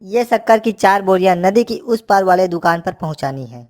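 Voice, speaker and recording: A woman's voice: mid-range voice, lively intonation, moderate pace, a little mellow, natural voice. A woman voicing a kindly, generous male village merchant in a cartoon story, reassuring and brotherly. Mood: friendly.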